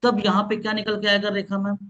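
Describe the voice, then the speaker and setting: A man's voice: high voice, completely flat delivery, fast talker, fairly bright tone, muffled recording. Hindi-speaking man teaching sales on a call. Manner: explains with role-play examples and repeated questions. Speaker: sales trainer. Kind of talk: live online training session. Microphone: video call.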